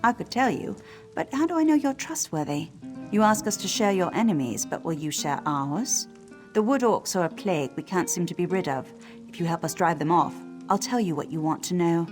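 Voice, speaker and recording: An actress playing a haughty, sardonic wood elf village leader in a video game. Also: female voice, lowish voice, expressive, brisk, natural voice. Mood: commanding, wary. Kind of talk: video game character acting. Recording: music behind the voice.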